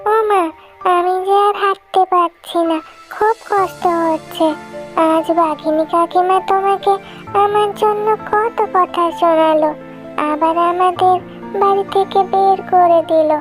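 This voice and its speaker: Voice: very high-pitched, steady tone, a little slow, faint music behind, voice pushed up. An adult voicing a pleading, whiny young fox daughter in a children's cartoon story. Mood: tired, upset.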